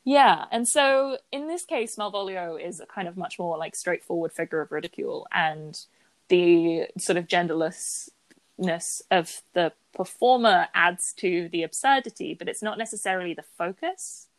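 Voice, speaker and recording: A woman's voice: lowish voice, normal speed, no echo, poor audio. A woman co-hosting a podcast, talking through theatre productions in an analytical way with frequent hedges. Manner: analytical, hedging with "sort of" and "like". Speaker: podcast co-host. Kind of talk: casual chat about theatre.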